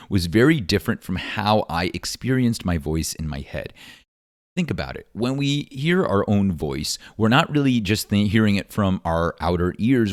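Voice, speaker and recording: A man with a deep baritone voice, hosting his own podcast and musing earnestly with many ums and likes. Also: no echo, clean studio sound. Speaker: podcast host. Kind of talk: solo podcast monologue. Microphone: podcast microphone.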